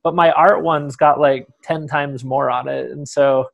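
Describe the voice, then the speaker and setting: A man's voice: mid-range voice, fairly even intonation, unhurried, vocal fry, lo-fi sound. A young man hosting a casual interview over a video call, talking in a chatty, rambling way with restarts. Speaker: podcast host. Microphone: headphones on video call.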